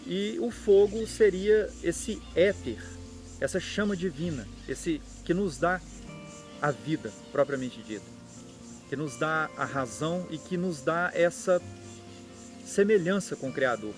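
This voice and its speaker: A Brazilian man with a slightly raised pitch explains a subject at length, thinking aloud and restarting now and then. Faint music plays under his voice. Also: animated, unhurried. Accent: Brazilian Portuguese. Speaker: occult channel host. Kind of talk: explanatory monologue.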